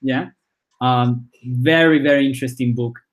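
Halting Chinese-born man with Spanish accent. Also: mid-range voice, fairly even intonation. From China. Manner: halting, with frequent repeated words and "uh" fillers. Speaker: startup founder and CEO, guest. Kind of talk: casual interview conversation.

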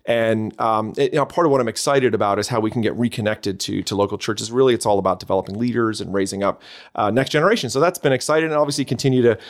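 A man's voice: lowish voice, quick pace. A middle-aged man with a Canadian accent, chatting as a podcast guest in an upbeat way, full of fillers and restarts. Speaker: podcast guest, church consultant. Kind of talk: casual interview chat.

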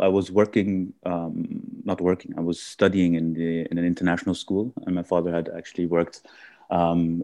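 A man from India, raised in Austria, answering podcast interview questions in a halting way with many fillers and restarts. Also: sits low, dark tone, no echo. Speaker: guest.

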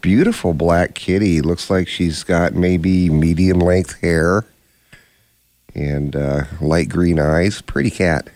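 A man's voice: low voice, slow pace, darkish tone, no echo. A man co-hosting a radio show in casual conversation, speaking into a studio microphone. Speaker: radio co-host.

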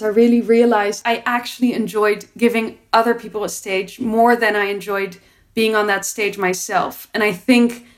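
Earnest young woman producer. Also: mid-range voice. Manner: earnest, reflective, with frequent restarts. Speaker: producer and entrepreneur. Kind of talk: personal interview answers.

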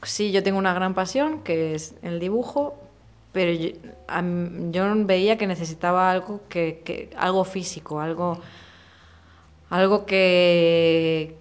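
A woman in her thirties from Murcia with a softened Murcian accent, a guest talking candidly and casually in an interview, full of slang and fillers. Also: fairly low pitch, measured pace, clean tone. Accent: softened Murcian Spanish. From Murcia, Spain. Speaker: podcast guest, illustrator.

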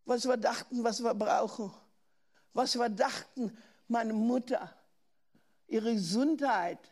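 A woman's voice: on the high side, slow pace, darkish tone. An older German woman preaching a sermon in an earnest way, often asking her listeners questions and breaking off to restart words.

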